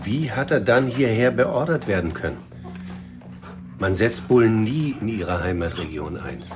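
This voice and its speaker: An actor playing a calm, reserved police inspector in a radio drama, politely questioning in an understated way. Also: male voice, lowish voice, moderate pace, music in the background, natural voice.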